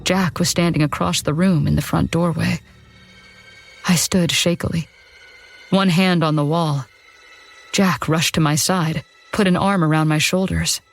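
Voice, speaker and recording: A woman's voice: low voice, fairly even intonation, unhurried, gravelly, pauses often. A woman narrating a first-person horror story in a dramatic storytelling style. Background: background music.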